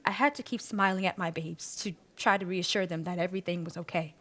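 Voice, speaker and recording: Actress as weary survivor mother, reflective, halting, conversational, radio drama monologue. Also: fairly low pitch, fairly bright tone, natural voice. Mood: shaken. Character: weary mother recalling disaster, survivor being interviewed.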